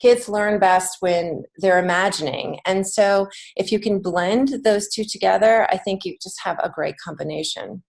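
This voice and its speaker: A woman's voice: mid-range voice, moderate pace, vocal fry, hardly any pauses. A middle-aged American woman speaking as an interview guest, telling stories conversationally in an earnest, emphatic way. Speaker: podcast guest, children's author and teacher.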